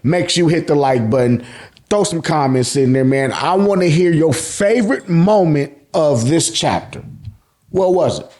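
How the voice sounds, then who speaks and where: An American man giving an excited, slangy fan recap, emphatic and repeating phrases for effect, with casual swearing. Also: a little high, normal speed. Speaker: host of an anime and manga review channel.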